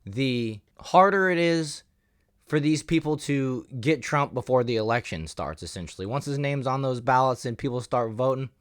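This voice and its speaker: A man's voice: mid-range voice, clean tone, no room sound, studio quality. A man hosting a commentary channel, moving between reading news and giving his own opinion in a conversational, emphatic way.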